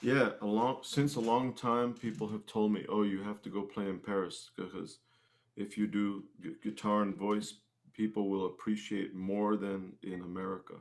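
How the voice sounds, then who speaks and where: A man's voice: fairly low pitch, little pitch movement, normal speed. A man who works as a musician, chatting casually as an interview guest. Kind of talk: casual chat.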